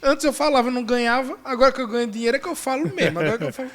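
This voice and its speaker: A man hosting a casual podcast chat, lively and joking. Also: very high-pitched. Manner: lively, joking, quick-talking. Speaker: podcast host.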